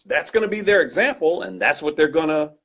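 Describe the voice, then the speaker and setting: Male guest, loud and emphatic, raspy edge, muffled lo-fi sound. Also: a little high, a little fast. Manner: earnest, emphatic, repeats points. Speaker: guest speaker. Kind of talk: conversational discussion. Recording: dull lo-fi recording.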